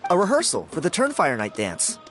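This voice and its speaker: A vain, chuckling young dancer. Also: male voice, a little high, huge pitch swings, brisk. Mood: matter-of-fact. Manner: boastful, chuckling. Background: game music.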